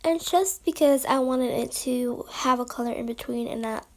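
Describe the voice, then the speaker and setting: A young woman with a high voice and a bit of gravelly fry, slightly hoarse from a recent cold. She talks upbeat and chatty, explaining her makeup steps straight to a close camera in a small room at home. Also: a little mellow. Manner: chatty and upbeat, quick asides. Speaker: beauty vlogger. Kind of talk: makeup tutorial narration.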